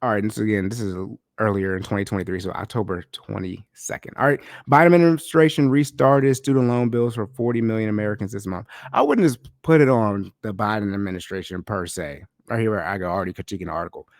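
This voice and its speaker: A man's voice: lowish voice, quick pace, hardly any pauses, no echo, studio quality. A man hosting a casual podcast, talking in a loose, slangy way, a little congested and nasal from a cold. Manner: loose, chatty, slangy delivery. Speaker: podcast host. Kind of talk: casual solo podcast talk. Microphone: podcast microphone.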